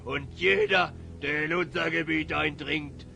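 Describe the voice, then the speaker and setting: Blustering bandit boss, gravelly n high, theatrical taunting, fantasy radio play. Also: male voice, fairly even intonation, a little slow, faint music behind, heavily acted. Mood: taunting, menacing. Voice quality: gravelly, sharp. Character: menacing, blustering robber chief who panics easily. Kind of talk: German children's fantasy audio drama acting.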